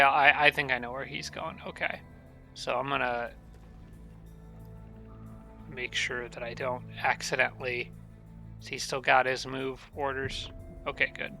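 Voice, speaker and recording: A man casually commentating a strategy game, thinking aloud and correcting himself, his voice a little raspy and nasal from allergies. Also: medium pitch, sharp tone. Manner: thinking aloud, rambling with restarts.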